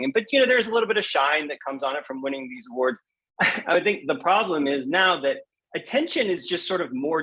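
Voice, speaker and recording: Male interview guest, mid-pitched and husky, casual, playful, a little hesitant. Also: a bit bright, low-quality recording.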